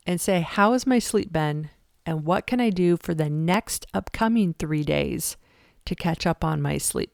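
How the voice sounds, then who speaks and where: A woman's voice: sits low, a little mellow, smooth, dry recording, clean studio sound. A middle-aged woman hosting a fitness podcast, talking in a chatty, emphatic way and laughing often. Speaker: podcast host and fitness coach. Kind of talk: casual motivational talk.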